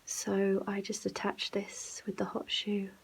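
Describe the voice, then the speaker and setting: A soft-spoken, breathy woman giving casual behind-the-scenes vlog narration, often dropping into a whisper. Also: medium pitch, monotone, unhurried. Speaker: ASMR vlogger and host.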